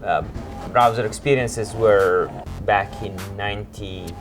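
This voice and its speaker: A man in his forties from Italy with an Italian accent, a creative director recounting his career in an informal interview in hesitant, halting phrases. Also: on the low side, music in the background. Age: 40s. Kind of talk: informal interview, telling own career story.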